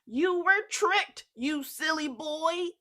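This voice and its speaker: A woman's voice: very high-pitched, slow, sharp tone, voice pushed up, exaggerated voice. A woman voicing a poor, exasperated mother in a children's storybook. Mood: angry. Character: Jack's poor, weary, scolding mother.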